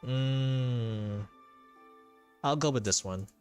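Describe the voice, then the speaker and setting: A man's voice: mid-range voice, extremely slow. A man doing casual, chatty live gameplay commentary, often repeating himself. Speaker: game streamer. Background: soft game music.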